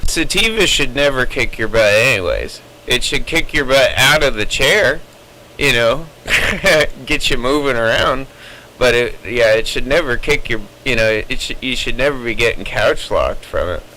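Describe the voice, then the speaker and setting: A man's voice: medium pitch, expressive, moderate pace, bright, forceful tone, poor audio. A man chatting casually over CB radio, explaining his opinions at length in a rambling way. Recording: CB radio chatter with faint noise.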